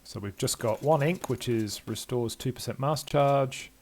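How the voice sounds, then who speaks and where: An older man giving casual let's-play commentary, musing to himself and reading game text aloud in a low-key way. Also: measured pace, a little mellow, a little hiss or hum. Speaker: game streamer.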